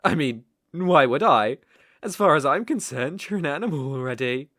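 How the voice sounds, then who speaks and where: A man's voice: a little high, expressive, pushed and bright, no room sound, studio quality. A man with a British accent playing a cruel, arrogant, mocking evil sorcerer in an ASMR roleplay, speaking in a theatrical, condescending way. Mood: musing, mocking. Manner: slow, theatrical, menacing, condescending. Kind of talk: ASMR roleplay, dramatic monologue to listener.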